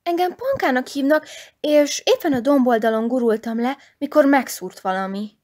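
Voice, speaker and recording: A woman voicing a cheerful, lively girl in a children's story, speaking in a bright, friendly and eager way. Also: high voice, voice pushed up. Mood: apologetic, kind. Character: cheerful, lively girl who will not stay sad. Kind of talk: storybook character voice.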